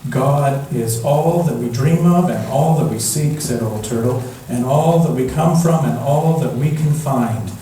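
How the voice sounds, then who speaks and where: A man reading a picture book aloud as a storyteller, in a gentle, measured manner with long pauses. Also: medium pitch. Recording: echoey room from far off, background noise.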